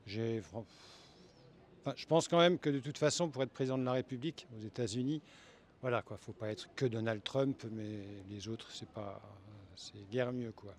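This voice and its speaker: A man's voice: fairly low pitch, darkish tone. A man giving a casual, spontaneous interview answer, hesitant and groping for his words. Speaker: passer-by being interviewed.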